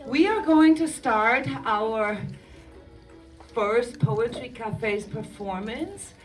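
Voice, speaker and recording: A woman's voice: a little high, expressive, drawn-out words. A woman teacher hosting a school performance, announcing, narrating dramatically and prompting children in an encouraging, directing way, recorded from a distance. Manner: encouraging, directing, repeats lines loudly for children. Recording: music behind, picked up from a distance.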